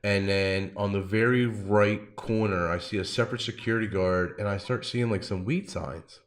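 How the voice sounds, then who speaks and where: A man's voice: fairly low pitch, steady tone, moderate pace. A man hosting a podcast, telling stories in a casual, slangy way peppered with "like". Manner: informal, slangy, frequent 'like'. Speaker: podcast host. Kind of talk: casual chat, personal storytelling.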